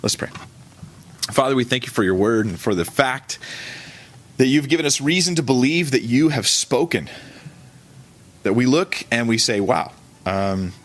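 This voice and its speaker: A man with a mid-pitched voice teaches as a pastor in a church service. He speaks informally and colloquially, correcting himself along the way as he explains things to his listeners. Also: animated, measured pace, pauses often, fairly bright tone, soft background music. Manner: conversational and colloquial, with self-corrections.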